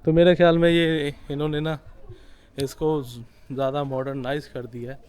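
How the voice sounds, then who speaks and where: A man's voice: fairly high pitch, little pitch movement, normal speed, a little mellow, smooth. A young man from Pakistan, giving casual, chatty commentary to a handheld camera as he walks through old-town streets outdoors. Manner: chatty and conversational, pointing things out as he walks. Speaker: travel vlogger. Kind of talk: casual walking tour commentary.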